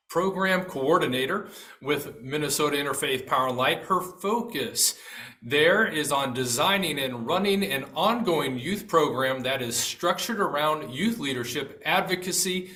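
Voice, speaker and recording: A man moderating a church panel, reading out guest introductions in a steady, formal way. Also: on the high side, reverberant.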